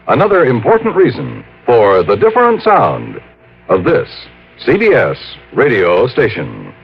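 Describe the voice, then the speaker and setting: A man's voice: mid-range voice, wildly swooping pitch, a little slow, creaky. Dramatically swooping vintage American radio announcer man. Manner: polished, emphatic. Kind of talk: 1950s radio announcing, scripted promotion. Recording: dull vintage radio recording, music behind.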